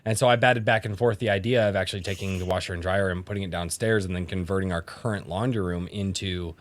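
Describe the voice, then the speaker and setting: Casual male panelist, lowish voice, recorded very dry. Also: fairly even intonation, fast talker, dry recording. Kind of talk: casual chat.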